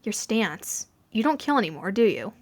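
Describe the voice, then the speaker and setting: A woman's voice: medium pitch, big pitch swings, moderate pace, breathy edge. Woman as a reserved, polite young female assassin. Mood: probing.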